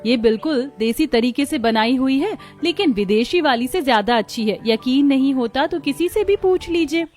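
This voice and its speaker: A woman voicing homely village women of one family in a cartoon story, with expressive talk. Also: sits high, moderate pace, hardly any pauses, soft background music. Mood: explaining. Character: village women of a family, daughters-in-law and mother-in-law. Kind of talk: Hindi cartoon story dialogue.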